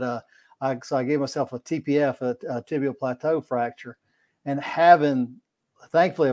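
A man's voice: mid-range voice, darkish tone. Folksy man on a video call. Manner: rambling, repeats words, folksy. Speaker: podcast guest, kayak angler. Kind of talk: casual video-call interview. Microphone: video call webcam mic.

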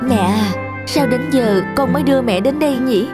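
An actress playing an affectionate, devoted young working woman in an animated drama. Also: on the high side, moderate pace, over loud music, natural voice. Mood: tender remorse.

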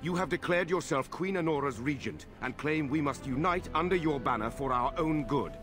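A man's voice: a little high, moderate pace, close to an everyday voice. An actor playing a defiant, principled nobleman in a fantasy game, speaking formally and pointedly. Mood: pointed, accusing. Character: defiant, principled noble lord challenging a regent. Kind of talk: dramatic fantasy game acting. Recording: loud music behind.

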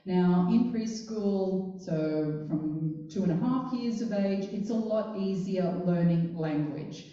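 A woman's voice: on the low side, slow pace, few pauses, warm tone. Woman panelist echoing in a long hall. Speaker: curriculum coordinator, panelist. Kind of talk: panel discussion answers. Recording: long hall reverb, distant mic, dull sound.

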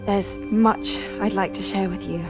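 A woman's voice: mid-range voice, steady tone, unhurried, over music, close to an everyday voice. A woman playing a gracious, poised witch professor in a video game, speaking courteously. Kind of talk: video game cutscene acting.

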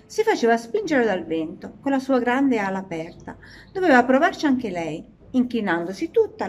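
A woman reading a children's story aloud in an animated, expressive way. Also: a little high. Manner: animated, dramatizing the characters' lines.

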